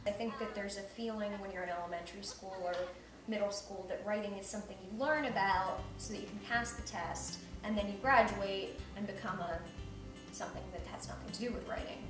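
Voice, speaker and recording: A woman's voice: medium pitch, brisk, music in the background. A young woman speaks in an informal, conversational way, like an author sharing her thoughts on a visit. She sounds a little way from the microphone, and the room rings around her words. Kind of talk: casual reflective talk and question-and-answer with schoolchildren. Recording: roomy, distant mic, some noise.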